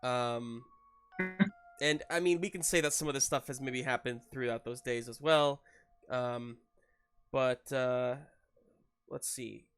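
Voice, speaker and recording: A man's voice: medium pitch, fairly even intonation, slow pace, pauses often, fairly bright tone, faint music behind. A man working as game master, improvising narration and talking through a tabletop game.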